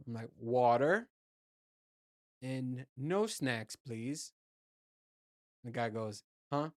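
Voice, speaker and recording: A man with a naturally low voice chats casually as a podcast host, rambling through stories with plenty of filler words and restarts. His delivery is relaxed and profane. The sound is dry and close, with almost no room echo. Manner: loose, profane storytelling with lots of fillers and restarts. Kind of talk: casual solo chat.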